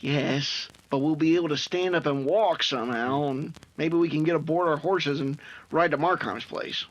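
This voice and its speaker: A man's voice: on the high side, normal speed, close to an everyday voice. A man playing a scheming, anxious outlaw henchman with folksy Western crook talk in an old-time radio drama. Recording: muffled, noisy home-recorded track.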